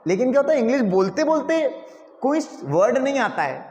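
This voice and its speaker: A man's voice: very high-pitched, sing-song, brisk, darkish tone, roomy sound, in a large hall. A male English teacher, lecturing a class and asking them rhetorical questions.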